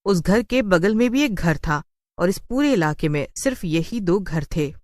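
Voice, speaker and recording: A woman's voice: on the low side, animated, unhurried, no echo. Woman narrating a story, very dry sound. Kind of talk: scripted horror story narration.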